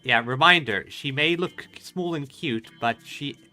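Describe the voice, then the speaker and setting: Bright-voiced man doing gameplay commentary. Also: on the high side, measured pace, faint music behind. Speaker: streamer playing and commenting on a game.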